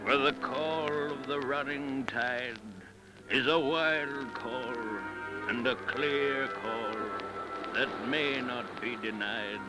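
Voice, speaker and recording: Slow solemn man reciting over loud music. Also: mid-range voice, fairly even intonation, rough recording. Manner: measured, solemn, lyrical phrasing. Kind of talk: solemn 1930s radio poetry recitation. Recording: old 1930s radio recording, loud music behind.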